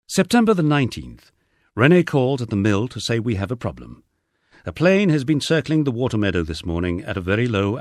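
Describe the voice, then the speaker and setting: A man's voice: on the low side, no echo. A man reading a first-person diary-style memoir aloud with dry, understated storytelling. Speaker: audiobook narrator.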